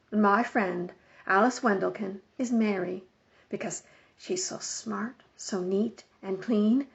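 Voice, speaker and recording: Woman reading a children's book, slightly muffled. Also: medium pitch. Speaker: storybook reader. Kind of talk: expressive scripted children's book narration. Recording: dull lo-fi home recording.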